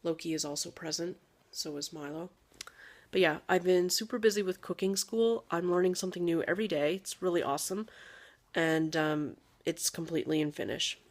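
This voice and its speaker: A woman's voice: lowish voice, a little fast. A young woman, not a native English speaker, chatting casually to camera as a parenting vlogger, rambling with fillers and asides.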